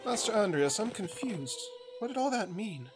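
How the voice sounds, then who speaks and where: A man's voice: high voice, big pitch swings, normal speed, music in the background, voice pushed up. A man voicing an eager, confused young apprentice boy in a story game, with a questioning, puzzled manner.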